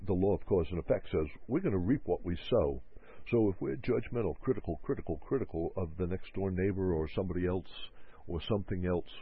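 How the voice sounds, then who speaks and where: A low-voiced, husky man gives a motivational self-help talk. He keeps circling back to his points and slips into short acted-out bits of people's anxious self-talk. His voice comes through a narrow, phone-like radio signal. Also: fairly quick, no echo. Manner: repetitive, acts out quoted inner voices.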